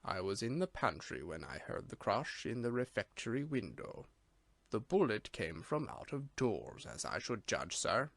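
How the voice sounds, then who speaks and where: A man reading an audiobook, voicing a solemn, deferential male servant with a slight Irish accent, low and subdued. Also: very animated, normal speed, creaky, no room sound, full character voice. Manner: formal, quiet, grave.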